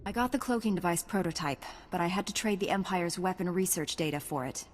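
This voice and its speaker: A woman's voice: on the low side, a little fast, slight background noise, natural voice. A woman playing a composed, dutiful and earnest young Jedi knight in a video game. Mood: calm. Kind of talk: scripted video game cutscene acting. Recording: long hall reverb, faint music.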